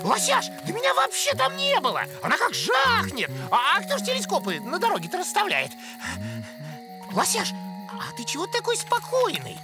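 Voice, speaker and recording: A woman voicing an excitable, naive young male cartoon rabbit with a boyish, exclaiming manner. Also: very high voice, normal speed, over music, full character voice. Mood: agitated. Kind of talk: animated cartoon voice acting.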